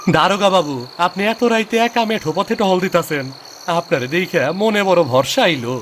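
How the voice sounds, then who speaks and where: A man's voice: sits high, moderate pace, background noise, close to an everyday voice. Guy doing a worried respectful village clerk, rural east bengal accent, cartoon dub, faint night bugs. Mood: deferential, worried. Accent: rural eastern Bengali. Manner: respectful, cautionary. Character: elderly-mannered, anxious, deferential village clerk, male. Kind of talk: cartoon dubbing.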